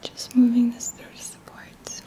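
A woman in her thirties whispers gently and soothingly in a halting, breathy voice, hosting an ASMR guided healing session up close to the mic. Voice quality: breathy whisper, mostly unvoiced. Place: small quiet indoor room. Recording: faint background noise.

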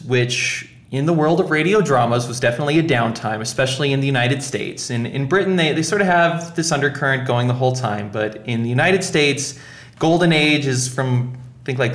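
A man hosting a casual podcast conversation in a joking, self-aware way. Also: mid-range voice. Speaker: podcast host. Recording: roomy, echoing sound.

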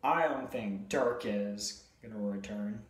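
A young man with a sore, hoarse throat chats casually to a phone camera in a hotel room, hesitant and full of fillers. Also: fairly low pitch. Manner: hesitant, many fillers and restarts, slow. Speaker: video host. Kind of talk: casual vlog chat. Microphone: phone camera at arm's length. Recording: echoey small room.

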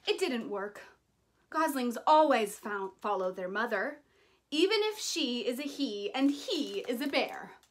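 A woman in her thirties reading a children's picture book aloud in an animated, deliberate way with dramatic emphasis. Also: a little high. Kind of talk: expressive children's story reading.

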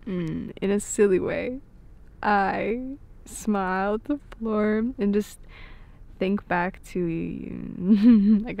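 A woman playing an affectionate, playful young girlfriend in an intimate, soft-spoken ASMR roleplay, with hesitant pauses. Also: medium pitch, vocal fry. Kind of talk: intimate ASMR roleplay, scripted but natural.

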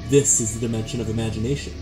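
Measured male narrator over prominent music. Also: lowish voice, steady tone, rough recording. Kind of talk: scripted dramatic radio-play narration. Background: loud music.

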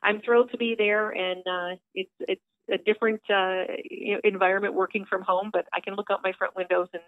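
A woman with a low voice, talking chattily on a phone call into a radio show, upbeat, with lots of fillers. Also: level tone, a little fast, dry recording. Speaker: theater director calling in. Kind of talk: casual phone interview. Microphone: telephone. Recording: tinny phone line.

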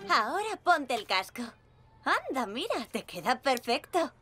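A young woman climbing instructor from a children's cartoon, in Castilian Spanish. Her voice is fairly high and very animated, cheerful and reassuring as she talks to little ones. Soft music plays behind her. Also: measured pace, exaggerated voice. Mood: upbeat, instructing. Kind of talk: animated dub dialogue.

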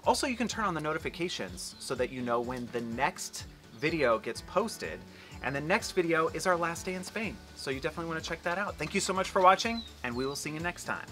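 A man's voice: a little high, expressive, quick pace, bright, forceful tone, soft background music. A man in his forties hosting a casual family travel vlog outdoors, chatty and rambling, joking and exaggerating. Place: outdoors on a patio.

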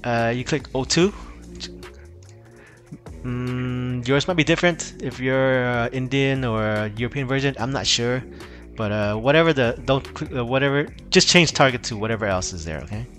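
A man's voice: mid-range voice, normal speed, fairly bright tone, faint music behind. A man casually walking viewers through a phone repair tutorial, loose and rambling with frequent restarts. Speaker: tech tutorial host. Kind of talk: casual screen-recorded tutorial.

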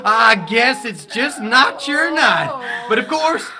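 An actor playing a slick, theatrical game show host in a dystopian audio drama. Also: male voice, extremely high pitch, sing-song, slow pace, full character voice. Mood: gushing. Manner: theatrical, gushing announcer delivery.

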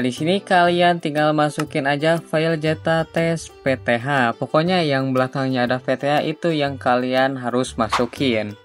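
A man from Indonesia, giving a casual, informal step-by-step software tutorial. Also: fairly high pitch. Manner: informal, repetitive step-by-step instructing. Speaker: tutorial host. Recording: music behind the voice. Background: background music.

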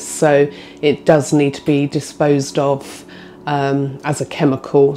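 A woman's voice: low voice, mellow. A woman in her forties, vlogging casually to camera from inside a boat, chatty with frequent ums. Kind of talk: casual vlog talk to camera. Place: inside a narrowboat, small room. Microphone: phone camera at arm's length.

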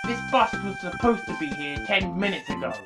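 A man's voice: sits high, animated, brisk, loud music behind the voice, voice pushed up. A man voicing Bradley, a fussy young man who loves trains and hates waiting, in a raised, whiny voice. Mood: exasperated.

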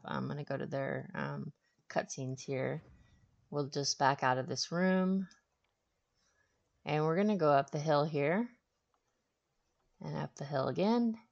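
A woman hosting a casual game walkthrough, speaking with hesitant pauses mid-sentence. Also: low-pitched, muffled recording. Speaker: walkthrough host.

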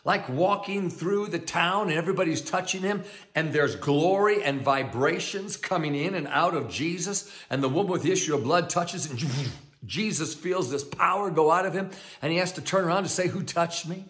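A male preacher teaching the Bible outdoors in a valley meadow, with an enthusiastic, animated delivery, a loud voice, and frequent laughter. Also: fairly high pitch, moderate pace. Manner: self-described loud voice.

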